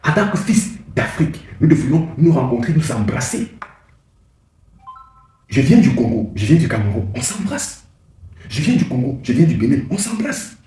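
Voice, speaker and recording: A man's voice: fairly high pitch, mellow, echoey room. A man hosting his own channel, giving an impassioned political speech with repeated phrases and emphatic rises.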